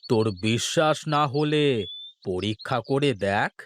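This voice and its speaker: A man's voice: medium pitch, measured pace, a bit bright, lightly acted. An actor voicing a commanding but benevolent old holy man in a fairy-tale cartoon, solemn as he instructs. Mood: instructing.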